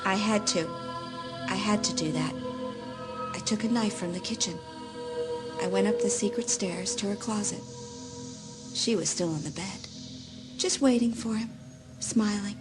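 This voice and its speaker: A woman's voice: medium pitch, close to an everyday voice. An actress playing an eerie, childlike, lovesick teenage girl who has turned murderous, rambling and pleading in an audiobook horror drama.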